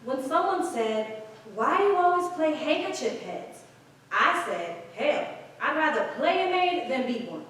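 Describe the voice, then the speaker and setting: Defiant, sassy actress woman performing, theatrical monologue, high lively voice, heavy room echo. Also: measured pace, heavily acted. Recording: very echoey room, distant mic.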